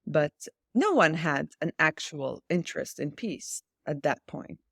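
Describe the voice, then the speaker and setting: A woman's voice: sits low, animated, measured pace, creaky, fairly bright tone, no room sound, clean studio sound. A woman from Israel speaking as an interview guest in a political discussion, challenging and insistent, repeating key phrases for emphasis.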